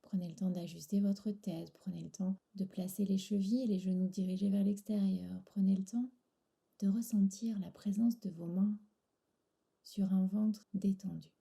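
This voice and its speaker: A woman guiding a relaxation meditation in a soft, breathy, soothing voice, pausing between short phrases. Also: mid-range voice, fairly even intonation. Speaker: yoga nidra instructor.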